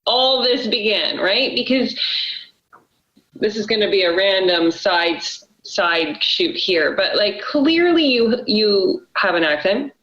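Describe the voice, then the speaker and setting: A woman from Canada hosting a podcast interview over a video call, chatty and animated with lots of fillers like "like" and "right". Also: mid-range voice, slow, highs cut off. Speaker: podcast host.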